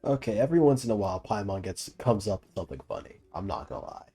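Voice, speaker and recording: A man's voice: lowish voice, lively intonation, quick pace, warm tone, slightly rough. A young man giving casual, amused playthrough commentary as a gaming streamer, full of slang and swearing. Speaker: gaming streamer reacting to a game. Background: faint game music.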